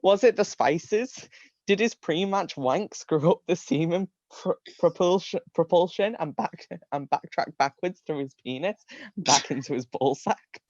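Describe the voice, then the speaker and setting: A man's voice: a little high, measured pace, a little mellow, no echo, dull audio. A man giving a live comedic reading of a story, amused, often breaking into laughter and tripping over his words. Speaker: reader of comic fan fiction.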